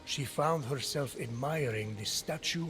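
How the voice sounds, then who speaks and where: A man playing a wise, formal immortal who narrates Greek myths in a measured, grave manner for a video game. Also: medium pitch, a bit bright, close to an everyday voice. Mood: grave, storytelling. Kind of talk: scripted narration. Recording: music behind the voice.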